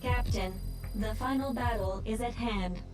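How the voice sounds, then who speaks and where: A formal, measured female voice, as an actress plays a support AI, somewhat high. She sounds echoey and set back from the mic. Game score music plays under her. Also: recorded from a distance, close to an everyday voice. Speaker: game character. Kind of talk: video game cutscene acting.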